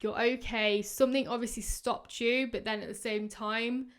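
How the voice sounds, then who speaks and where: A woman in her twenties hosting a podcast, giving casual, rambling advice full of fillers, her voice going hoarse. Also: a little high, steady tone, normal speed. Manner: chatty, rambling, many fillers. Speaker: podcast host. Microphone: podcast microphone.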